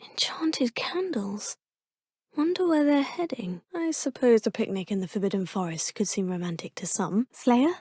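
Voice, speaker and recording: Young female witch student; polite, musing, breathy, dry close studio sound. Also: no room sound. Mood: whispered asides. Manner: polite, composed, often musing in low asides. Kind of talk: video game voice lines. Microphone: close, dry studio mic.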